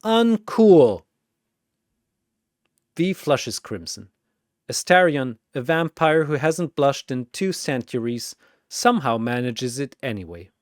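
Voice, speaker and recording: A man's voice: mid-range voice, animated, measured pace, dry recording, clean studio sound. A man narrating a scripted fantasy story dramatically, in theatrical, punchy phrases.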